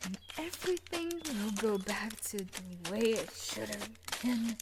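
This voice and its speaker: Woman acting an obsessive scientist, slow and menacing. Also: mid-range voice, sing-song, background noise. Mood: threatening, pleading. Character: obsessive possessive young woman scientist, menacing yet pleading. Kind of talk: audio roleplay acting addressed to the listener.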